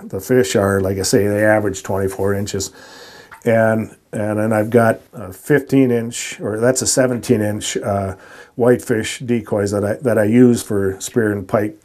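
An older man, explaining spear fishing in a dark ice shack, with many restarts and fillers. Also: lowish voice, steady tone, normal speed. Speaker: spear fisherman and decoy carver. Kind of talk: casual explaining on location.

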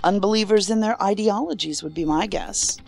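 A woman's voice: mid-range voice, fairly quick, gravelly, a little mellow, close to an everyday voice. An actress playing a thoughtful, astute, serious female investigator in an audio drama.

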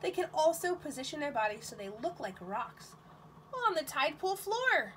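A woman teaching nursery school, reading a picture book aloud to young children in an expressive, questioning manner, with exclamations. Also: sits high, moderate pace, a little hiss or hum.

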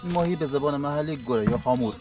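A man, a local fisherman explaining things unscripted outdoors on the shore. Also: on the high side. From southern Iran. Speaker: local fisherman and boat captain. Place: outdoors on a tidal seashore. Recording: music over the voice. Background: loud music.

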